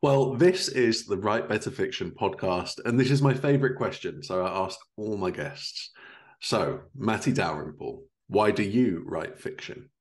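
A man's voice: on the low side, a little slow. A man in his thirties hosting a casual interview, with an informal, affirming way of talking. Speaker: podcast host. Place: living room at home, indoors, video call.

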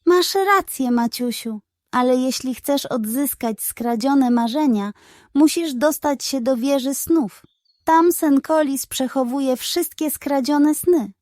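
Storybook dream guard, male spirit, played by a woman: solemn, commanding, measured pace, high-set voice. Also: darkish tone, no echo, clean studio sound. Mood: advising. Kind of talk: children's storybook character voice.

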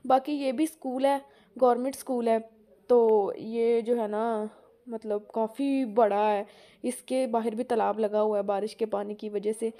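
A young woman, speaking a little higher than most women. She chats loosely and rambles with plenty of fillers, laughing here and there. It is recorded on a phone held as she walks outdoors and around her home. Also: flat delivery, a little slow, mellow. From Pakistan. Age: young adult. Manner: chatty, rambling, frequent "jo hai na" fillers, laughs often. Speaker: vlogger. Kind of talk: casual walking vlog narration. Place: outdoors on village roads, then at home. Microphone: phone camera held while walking.

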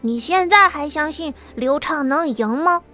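Woman voicing a weary young psychic, quiet, thoughtful, bright high voice, soft music behind. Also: expressive, unhurried, exaggerated voice.

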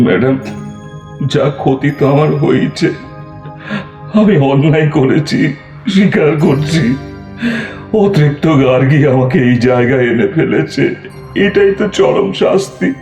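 A man's voice: medium pitch, expressive, measured pace, long pauses, darkish tone, soft background music. Man acting a stammering, guilt-ridden suspect, echoey. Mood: desperate pleading. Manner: stammering, repeating words in agitation. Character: guilty, panicked man, a police suspect pleading innocence. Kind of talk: audio drama acting.

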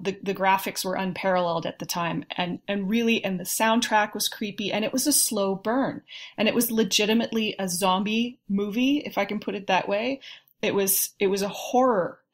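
A woman speaking as a podcast panelist, giving articulate, opinionated and measured critique in a casual discussion. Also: medium pitch.

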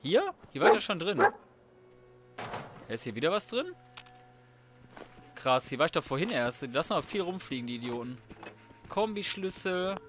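A man's voice: on the high side, big pitch swings, normal speed, long pauses, a bit bright, faint music behind. A man giving casual, slangy and crude commentary on a video game, talking to himself as he plays. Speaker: let's play gamer.

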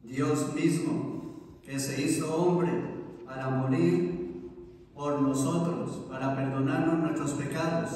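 A man preaching as a priest at Mass in a solemn, measured way, in a large church. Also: a little high, fairly even intonation, a little mellow. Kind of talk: sermon, reading and preaching. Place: large church interior, standing at the altar. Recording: echoey church hall, from far off.

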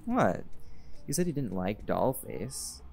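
A man's voice: mid-range voice, big pitch swings, slow, vocal fry, full character voice. An actor voicing a laid-back, teasing male jaguar shifter in an audio roleplay, blunt and flirtatious, with music playing behind.

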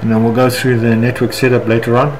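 A man with a South African accent talks through a software screencast step by step, unscripted and halting. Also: mid-range voice, flat delivery. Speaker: software tutorial instructor. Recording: clear background noise, room ambience.

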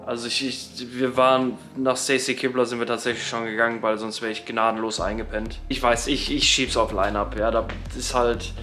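A man's voice: mid-range voice, level tone, fairly quick, few pauses, sharp tone, faint music behind. A man in his thirties hosting a casual vlog, talking slangy and straight to viewers into a phone held at arm's length. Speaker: vlogger host. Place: arena crowd, then hotel room.

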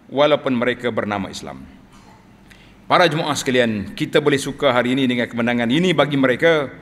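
A middle-aged man with a Malaysian Malay accent giving a declamatory Friday sermon from a mosque pulpit, slowing down to stress key words. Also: mid-range voice, fairly bright tone. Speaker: Friday preacher. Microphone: two pulpit microphones on stands. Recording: long reverb of a large mosque hall.

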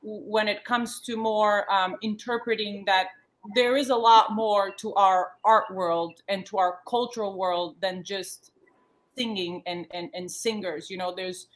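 A woman's voice: mid-range voice, steady tone, a little slow, rough recording. A woman in her thirties, born in the States and raised in Yugoslavia, speaking earnestly as a panel guest from her living room over a video call, with many restarts and fillers. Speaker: panel guest, former model. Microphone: laptop webcam on a video call.

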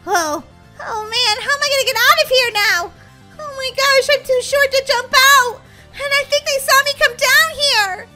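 A woman's voice: extremely high pitch, animated, normal speed, loud music behind the voice. A woman voicing a nervous, dramatic little orphan girl in a children's game roleplay, exclaiming and whining. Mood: panicked. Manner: breathless exclaiming, whiny stammering. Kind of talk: children's Roblox roleplay story.

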